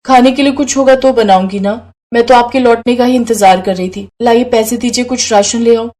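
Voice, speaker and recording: A woman's voice: a little high, a little fast, dark tone, natural voice. A woman voicing a poor, devoted young wife and mother in a moral story, speaking in an earnest, plaintive way. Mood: worried, complaining.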